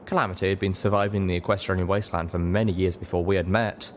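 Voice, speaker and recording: A man's voice: sits low, fairly even intonation, fast talker, slight background noise. A man reading first-person fiction aloud as scripted audiobook narration. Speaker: audiobook reader.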